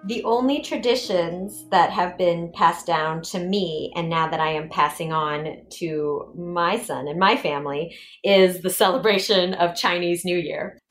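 A woman's voice: on the low side, unhurried. Upbeat woman speaking in a roomy space. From Washington, D.C. area, USA. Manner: effusive and upbeat. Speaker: performer, director and choreographer. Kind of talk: first-person audio portrait narration. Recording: roomy sound with faint music behind.

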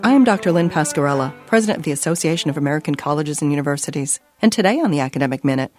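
Female radio host, low voice, fast crisp intro, faint music bed. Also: animated, a little mellow.

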